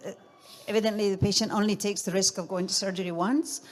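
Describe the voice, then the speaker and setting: A woman's voice: medium pitch, fairly even intonation, fast. A female eye surgeon from Spain on a conference panel, speaking earnestly and often restarting her sentences.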